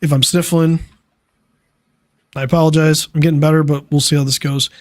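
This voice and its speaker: A man hosting a casual tech podcast, tired and dealing with allergies, talking in a loose, relaxed way. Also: on the high side, fairly quick, dry recording. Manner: relaxed, loose, many fillers. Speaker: podcast host. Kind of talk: casual chat.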